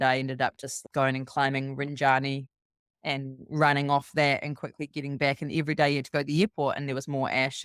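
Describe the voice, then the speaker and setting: A woman from New Zealand with a New Zealand accent, guesting on a podcast and telling a long, brisk travel story full of quoted dialogue. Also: low voice, no room sound, studio quality. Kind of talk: casual storytelling.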